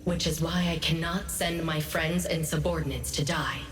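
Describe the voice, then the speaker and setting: Actress as a low, echoing female commander. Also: steady tone, close to an everyday voice. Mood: grave, measured. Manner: measured, serious, commanding. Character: composed female commander, cautious, authoritative, protective of subordinates. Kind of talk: video game voice acting. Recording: echoey reverberant hall, distant, faint music.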